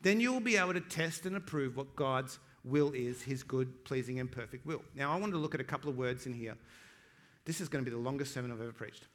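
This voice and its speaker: A man preaching a sermon, swinging between loud, emphatic declarations and quiet, joking asides to the congregation, slowing down to stress key phrases. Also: medium pitch, expressive. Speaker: pastor.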